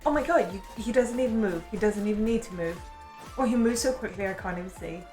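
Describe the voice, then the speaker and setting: A woman's voice: mid-range voice, fast. Gushing woman in a roomy space. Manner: gushing, expressive, with gasps. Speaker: reaction video creator. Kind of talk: excited casual reaction commentary. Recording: roomy, music playing behind.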